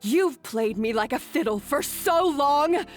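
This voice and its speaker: A woman's voice: high-pitched, expressive, measured pace. An actress playing a bitter, remorseful but defiant young female chef in a video game, speaking with dramatic emotion. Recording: game dialogue over background music.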